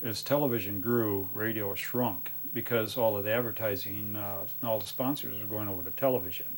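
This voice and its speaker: A man's voice: lowish voice, steady tone, brisk, over music. An older man with an American accent, casually reminiscing as an interviewee. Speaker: interviewee, hobby collector.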